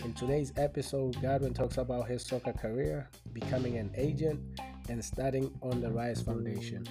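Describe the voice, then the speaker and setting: A man's voice: medium pitch, fairly even intonation, moderate pace, over loud music. A man hosting a soccer podcast, friendly and teasing as he asks his guest questions. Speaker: podcast host. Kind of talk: podcast interview.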